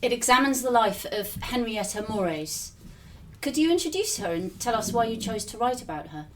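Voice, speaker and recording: A woman's voice: on the high side, sing-song, normal speed. A woman hosting an interview, giving a scripted introduction and putting questions to her guest. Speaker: podcast interviewer. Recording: distant mic with faint background noise.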